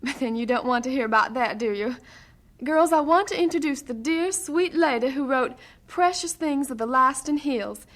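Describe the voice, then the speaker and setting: Effusive young wife hosting, high-pitched, sugary, chatty, gushing, old-time radio drama. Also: female voice, moderate pace, fairly bright tone. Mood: gushing, self-important. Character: gushing, chatty seminary student wife introducing a guest.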